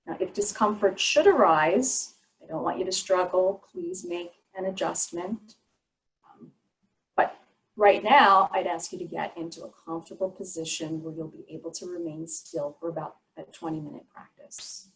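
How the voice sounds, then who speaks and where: A woman teaching guided yoga nidra meditation, giving soothing, unhurried instructions with pauses between phrases. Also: fairly low pitch, gravelly, poor audio.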